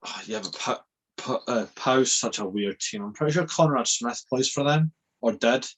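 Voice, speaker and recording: A man's voice: mid-range voice, moderate pace. A young man co-hosting a casual podcast, laid-back and swearing casually. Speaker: podcast co-host.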